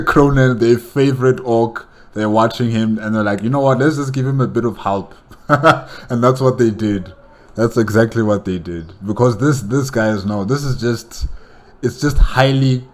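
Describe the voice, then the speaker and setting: Man, reaction host, casual commentary, excitable, stumbling speech, lowish voice. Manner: excitable, laughing, stumbles over words.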